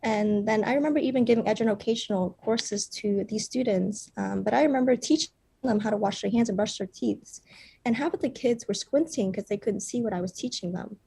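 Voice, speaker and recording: A young woman from Vietnam, a startup founder, gives an earnest pitch with frequent fillers. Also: a little fast, creaky, mellow, slightly rough. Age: young adult.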